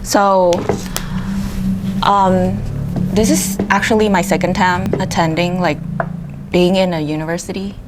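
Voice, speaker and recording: A young woman from Vietnam co-hosting a podcast in a relaxed, casual way, with fillers and small laughs. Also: on the low side, unhurried, long pauses, over loud music. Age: young adult. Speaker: podcast co-host. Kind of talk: casual chat.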